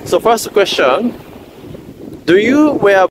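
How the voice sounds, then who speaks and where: Lively man interviewing outdoors in noisy wind. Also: high voice, slow pace. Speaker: street interviewer. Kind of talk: casual street interview. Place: outdoors at a beach and park. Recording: windy, noisy outdoor recording.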